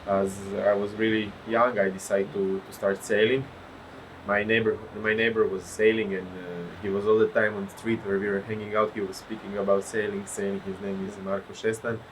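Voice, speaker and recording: Croatian man, low voice, storytelling. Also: fairly quick, slight background noise, low-quality recording. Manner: animated storytelling, searches for words. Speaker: guest, pro windsurfer. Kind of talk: casual podcast interview.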